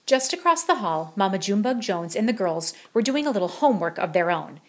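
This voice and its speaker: A woman narrating a children's storybook as an expressive bedtime read-aloud. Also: medium pitch, fairly quick.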